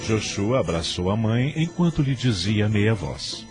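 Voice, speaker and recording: A man's voice: on the low side, big pitch swings, a little slow. A man narrating a scripted radio drama in a dramatic storytelling style. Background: faint background music.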